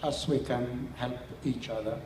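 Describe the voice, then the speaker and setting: A man's voice: medium pitch, fairly even intonation, a little slow, reverberant, big-room sound, slight background noise, rough recording. An older man whose first language is Syriac, speaking plain non-native English in short phrases as an interviewee and community elder. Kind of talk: explaining to a small audience.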